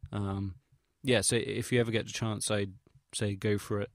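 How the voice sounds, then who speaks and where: A young English man with a fairly low voice talks in a casual audio log, recorded close and dry. He rambles hesitantly through his recollections, using slangy, sweary, self-deprecating wording. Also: level tone, normal speed, no room sound. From England. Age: young adult. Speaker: vlogger.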